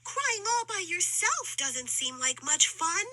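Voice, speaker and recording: A woman plays a kindly, thoughtful storybook elephant. The voice is high and very bright, with earnest delivery and lively swings in pitch. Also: takes it slowly, heavily acted. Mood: kindly concern. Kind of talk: children's picture-book character reading.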